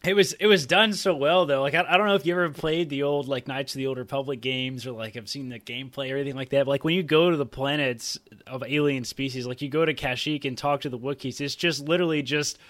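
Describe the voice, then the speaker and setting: A woman's voice: extremely low pitch, fairly even intonation, quick pace, hardly any pauses, no room sound. Woman podcast host, casual and informal, says like a lot, really dry close audio. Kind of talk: casual interview chat.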